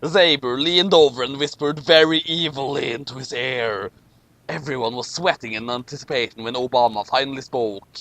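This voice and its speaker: A man's voice: mid-range voice, normal speed, fairly bright tone. A man giving a comedic, theatrical story reading with commentary, often stumbling over his words. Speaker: host reading a story aloud.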